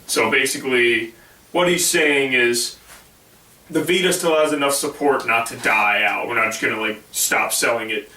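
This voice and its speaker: A man co-hosting a gaming podcast, hoarse from losing his voice, blunt and dismissive as he reads out news. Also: mid-range voice, moderate pace, bright, forceful tone, echoey room, recorded from a distance, poor audio. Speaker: podcast co-host. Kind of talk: casual gaming podcast chat.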